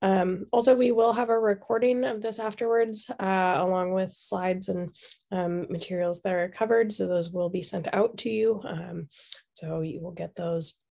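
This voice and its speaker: A woman hosting a webinar over a video call, her voice fading and hoarse. There's a gravelly fry under her words, and she fills gaps with casual ums. She sits at a mid pitch and talks through a call microphone. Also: steady tone, moderate pace, warm tone. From Seattle. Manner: casual, many filler ums. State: losing her voice. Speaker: webinar host. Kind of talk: webinar hosting, housekeeping announcements.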